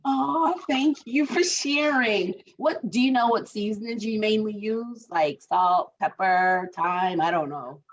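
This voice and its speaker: A woman's voice: mid-range voice, slow pace, few pauses, highs cut off. A woman from Barbados, leading an online group workshop, chatty and encouraging as she reads the chat aloud. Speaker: workshop facilitator. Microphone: video call.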